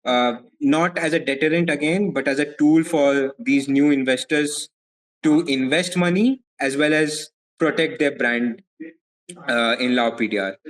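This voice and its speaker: A young man from India with an Indian accent, answering interview questions as a legal advisor in a measured way, often repeating words as he thinks. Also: a little high, low-quality recording. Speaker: foreign legal advisor, guest.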